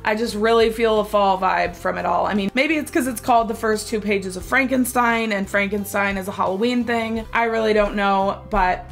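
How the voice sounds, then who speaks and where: A woman's voice: mid-range voice, fairly even intonation, normal speed, creaky, few pauses. Stuffy woman w a cold, gushy and chatty, medium voice, some faint music in the back. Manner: gushing, enthusiastic, chatty with fillers. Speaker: music reaction vlogger. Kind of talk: casual chat.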